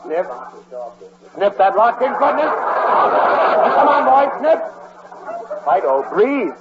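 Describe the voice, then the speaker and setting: A man in a 1940s radio comedy, hamming up a mock commercial in an exaggerated pitchman's manner. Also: very animated, slow pace, a loud crowd. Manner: hammy, exaggerated pitchman delivery. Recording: muffled 1940s radio broadcast with loud hiss.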